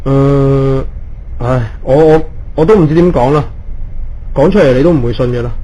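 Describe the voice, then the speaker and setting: An actor playing a tired, easily rattled emergency doctor in a ghost-story radio drama. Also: male voice, mid-range voice, moderate pace, mellow, muffled recording, natural voice. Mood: flustered. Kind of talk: Cantonese radio ghost drama, narration and dialogue.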